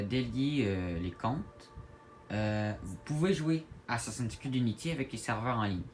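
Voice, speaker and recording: Halting Québécois man over background noise. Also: medium pitch. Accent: Québécois French. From Quebec, Canada. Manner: halting, hesitant delivery with repeated words. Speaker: gaming video creator. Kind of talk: casual tutorial talk to viewers. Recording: clear background noise, home-made recording.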